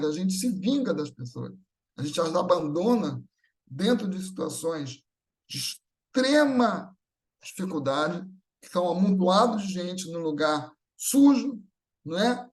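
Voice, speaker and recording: A man giving a didactic religious talk, posing rhetorical questions and often checking for agreement. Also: high voice. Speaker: religious lecturer. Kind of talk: Spiritist talk, didactic public lecture.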